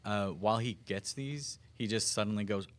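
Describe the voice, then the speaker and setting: A man's voice: fairly low pitch, normal speed, noisy background. A man playing a tabletop game, chatting casually and reading out item descriptions.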